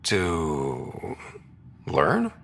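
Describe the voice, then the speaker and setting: Animated, gravelly young space hero. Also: male voice, very deep voice, drawn-out words, exaggerated voice. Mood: warm. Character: young, easygoing, wry human space explorer hero. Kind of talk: naturalistic video game dialogue acting.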